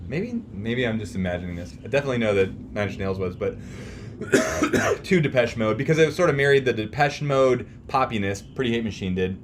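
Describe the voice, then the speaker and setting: A man's voice: on the low side, a little hiss or hum. A man chatting casually about music as a podcast host, in an informal, slangy, mildly profane way.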